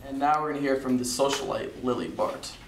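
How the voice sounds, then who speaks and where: A man's voice: medium pitch, fairly quick, vocal fry, lightly acted. A man playing a forum co-host, presenting guests formally in an amateur dramatization. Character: male forum co-host introducing lost souls. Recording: echoey room, distant mic, faint noise.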